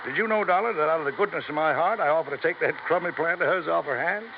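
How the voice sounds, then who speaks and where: A man's voice: on the high side, quick pace, creaky. An actor with an American accent playing a self-assured, dismissive male construction boss in a 1950s radio drama. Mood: scornful, amused. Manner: dismissive, chuckling. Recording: noisy, muffled vintage radio recording.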